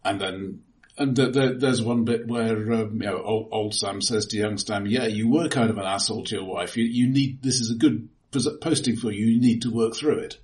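A man co-hosting a casual film discussion, explaining in a halting way with repeated words. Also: fairly low pitch, darkish tone. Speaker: film discussion podcast co-host.

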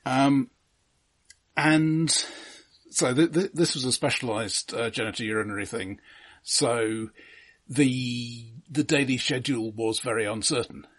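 A middle-aged British man telling anecdotes casually as a podcast co-host, in a halting, precise way. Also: mid-range voice, a bit bright, slightly rough. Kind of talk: casual chat, personal anecdotes.

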